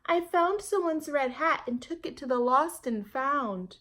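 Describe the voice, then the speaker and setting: A woman's voice: high-pitched, expressive, unhurried, pushed and bright, voice pushed up, full character voice. A woman voicing an earnest, slightly plaintive little girl in a children's storybook read-aloud.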